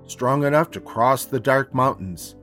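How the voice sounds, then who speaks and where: A man voicing a loving, solemn old grandfather in a storybook read-aloud, grave and measured. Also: mid-range voice, a bit bright, faint music behind, close to an everyday voice. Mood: tender, solemn.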